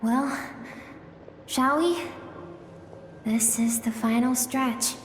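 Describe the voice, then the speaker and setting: Enigmatic female game guide, wistful storyteller, unhurried, heavy echo. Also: fairly high pitch, a little hiss or hum. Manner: gentle, unhurried, confiding storytelling. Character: wistful, mysterious female guide through another's memories. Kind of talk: dramatic game narration. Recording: echoey long reverb, background music.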